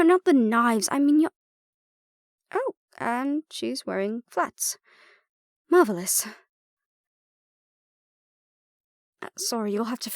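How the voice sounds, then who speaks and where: A woman's voice: fairly high pitch, darkish tone, no echo, studio quality. A woman acting in an audio roleplay as an aristocratic archaeologist, sardonic, self-deprecating and prone to awkward rambling. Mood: flustered, awkward.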